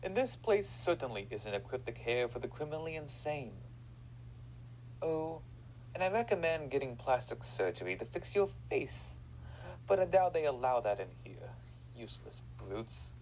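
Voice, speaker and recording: An actor in an audio drama playing a condescending prison psychiatrist with a clinical, sardonic manner. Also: male voice, medium pitch, sing-song, normal speed, darkish tone, slight background noise, poor audio.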